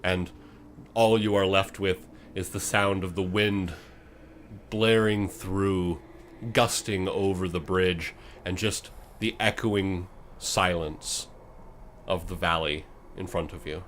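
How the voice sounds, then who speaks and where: A man with a fairly low voice runs a tabletop game as host and game master. He narrates dramatically in suspenseful phrases, with clear ambient noise behind him. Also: fairly even intonation, takes it slowly, a bit bright.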